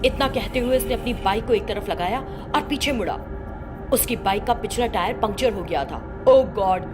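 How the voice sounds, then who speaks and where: A woman's voice: on the high side, moderate pace. A woman storyteller reading scripted story narration. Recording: loud music behind voice.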